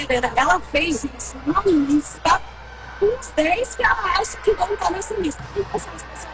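A woman reports live from the field in an upbeat way. Her voice is very high, with faint noise behind her. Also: fairly bright tone, poor audio.

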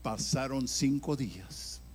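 An older man, an evangelist, telling his own story in a sermon, swinging from loud emphatic bursts to hushed near-whispers. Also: mid-range voice, slow pace, a little hiss or hum. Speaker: evangelist preacher. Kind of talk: sermon testimony.

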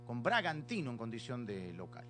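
Male sports panelist, animated and swooping delivery, insistent repetition, music bed behind. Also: medium pitch, moderate pace. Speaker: football panelist. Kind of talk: casual sports panel chat.

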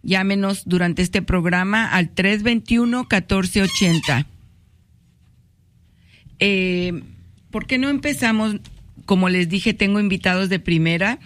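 A woman's voice: mid-range voice, a little slow, long pauses, a bit bright. A woman hosting a casual radio talk show, welcoming and addressing her audience directly in a conversational way. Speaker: radio host. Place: radio studio.

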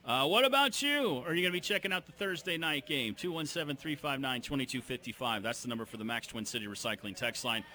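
A man's voice: medium pitch, animated, fast talker, few pauses, sharp tone. A man co-hosting a sports radio show from a bar, chatting upbeat and reading out the text line and specials. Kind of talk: casual sports talk and sponsor reads. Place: sports bar remote broadcast. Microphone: headphones and studio mic.

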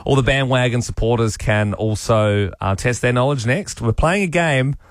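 A man co-hosting a breakfast radio show, running a quiz with enthusiastic, quick banter. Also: medium pitch, no room sound, clean studio sound. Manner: enthusiastic, quick-talking quizmaster. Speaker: radio co-host.

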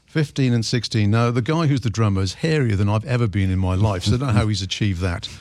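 An older man with a deep voice, hosting a casual radio interview and chatting enthusiastically about records. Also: fast, a little mellow, no echo, clean studio sound. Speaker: radio host.

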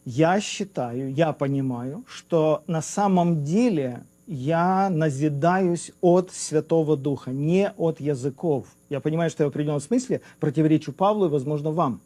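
A man's voice: a little high, normal speed, hardly any pauses, dark tone. A man in a theological debate, raising polite objections and pressing questions.

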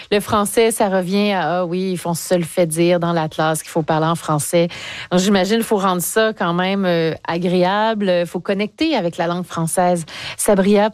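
A woman's voice: mid-range voice, a little mellow, dry recording. A woman hosting a conversational interview. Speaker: interview host.

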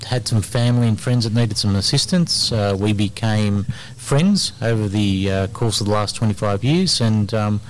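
Energetic Australian man, lots of ums. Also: on the low side, normal speed, few pauses, slight background noise, low-quality recording. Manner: energetic, frequent ums and uhs. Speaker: immigration lawyer, interview guest. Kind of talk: casual radio interview. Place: community centre hall.

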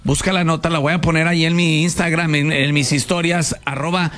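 A man's voice: fairly high pitch, level tone, fairly quick, fairly bright tone. A man with a Mexican accent hosting a casual radio chat, slangy and prone to restarts. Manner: chatty, slangy, trails off and restarts. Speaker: radio host.

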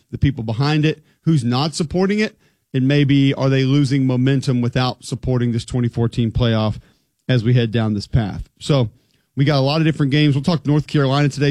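Chatty sports radio host. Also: male voice, medium pitch, a little mellow, dry recording, clean studio sound. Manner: chatty, emphatic, jokey. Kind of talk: sports talk show.

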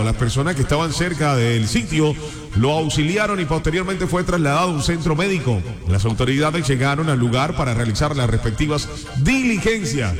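Roomy-sounding radio newsman. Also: fairly high pitch. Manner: lively banter, then steady emphatic news reading. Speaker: radio host and newsreader. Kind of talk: morning radio news and banter. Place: radio studio.

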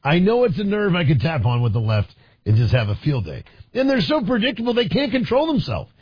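Husky, sarcastic male talk radio host. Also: high-pitched, huge pitch swings, fairly quick, no echo, lo-fi sound. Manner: sarcastic, combative, rambling asides. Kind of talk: opinionated political talk radio.

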